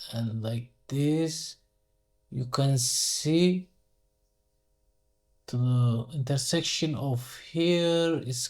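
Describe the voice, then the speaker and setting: A man with a Turkish accent, a native Turkish speaker, giving an unscripted step-by-step software tutorial, thinking aloud haltingly and correcting himself as he goes. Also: on the high side. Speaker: engineering software tutorial instructor. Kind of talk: unscripted screen-share tutorial, step-by-step explanation.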